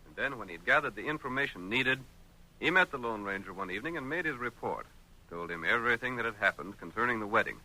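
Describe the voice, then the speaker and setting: An American man narrating a Western radio drama in a measured, formal, dramatic 1930s style. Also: on the low side, expressive, bright, forceful tone, a little hiss or hum, rough recording. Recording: vintage 1930s radio recording.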